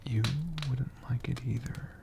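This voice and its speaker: A man in a friendly dragon persona, telling stories in a slow, hushed ASMR whisper with playful asides to the listener. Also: medium pitch, level tone, gravelly, noisy background.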